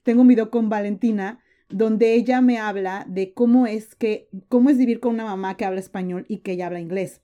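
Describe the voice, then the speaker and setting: Mexican-accented woman, 30s, mellow tone, loose chatty guest who restarts her sentences often. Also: medium pitch, fairly quick, hardly any pauses, dull audio. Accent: Mexican Spanish. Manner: chatty, frequent fillers and restarts. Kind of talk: casual interview chat.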